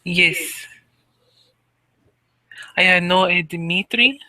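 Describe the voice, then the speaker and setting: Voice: mid-range voice, drawn-out words, bright, forceful tone, dry recording, heavily acted, poor audio. A player voicing a stiffly formal tailed creature girl. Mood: curious. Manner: stiff formal speech without contractions. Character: young female creature with a tail, newcomer.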